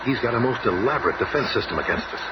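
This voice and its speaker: An actor playing a calm, earnest male scientist team leader explaining things in a 1970s superhero radio drama. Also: mid-range voice, fast, natural voice. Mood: measured, assured. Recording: muffled, very noisy radio recording.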